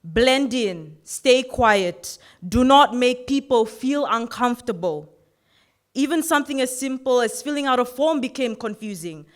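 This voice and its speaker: A woman in her twenties from Fiji giving an earnest, measured personal speech in a competition. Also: a little high, animated. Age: 20s. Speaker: youth orator.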